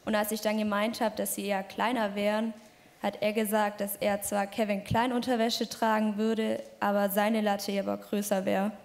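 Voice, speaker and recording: A woman's voice: mid-range voice, flat delivery, normal speed, darkish tone. A woman in her twenties telling a personal story matter-of-factly. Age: 20s. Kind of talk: spoken personal testimony.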